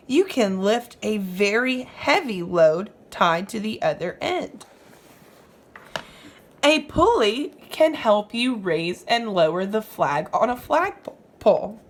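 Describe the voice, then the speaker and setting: A woman reading aloud to young children as a teacher would, explaining as she goes and putting questions to the listener. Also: on the high side. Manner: instructive, asks the listener questions.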